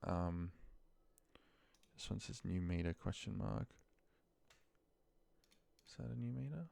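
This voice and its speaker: A man's voice: sits low, slow, creaky, warm tone. A man giving a casual, unscripted screen tutorial as a trainer, thinking aloud informally and trailing into mumbled asides, close to the mic. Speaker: trainer demonstrating a data task.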